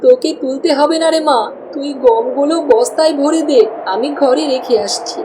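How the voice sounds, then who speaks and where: A woman's voice: high-pitched, moderate pace, background noise, close to an everyday voice. A woman playing a caring, anxious village wife and mother in a cartoon, tender and fretful. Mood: soothing. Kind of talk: cartoon voice acting.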